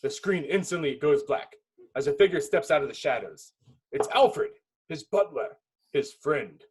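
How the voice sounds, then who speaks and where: A man's voice: on the high side, animated, a little slow, a little mellow, breathy edge, low-quality recording. A man giving dramatic scripted narration, reading a film script's stage directions.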